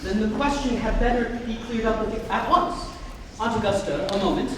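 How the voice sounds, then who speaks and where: A young man playing an excitable, earnest Victorian gentleman in a theatrical reading of a stage comedy. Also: sits high, brisk. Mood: anxious. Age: young adult. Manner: theatrical, exclamatory. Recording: echoey hall from far off, noisy.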